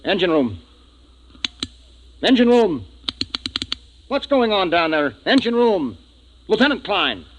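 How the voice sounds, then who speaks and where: An actor playing a gruff, decisive spaceship captain in a 1950s radio drama, narrating and giving orders. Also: male voice, sits high, sing-song, slow, pauses often, noisy background, heavily acted. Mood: alarmed, urgent. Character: gruff, decisive male spaceship captain, troubled but in command. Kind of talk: 1950s radio acting with first-person narration. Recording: dull 1950s radio recording, music behind.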